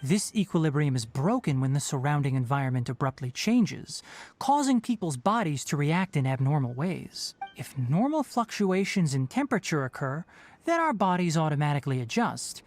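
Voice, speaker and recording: Actor playing young scholar-scientist, composed, clinical, formal lecturing delivery, widely moving pitch, video game dialogue. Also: big pitch swings, moderate pace, a little mellow, faint music behind, natural voice.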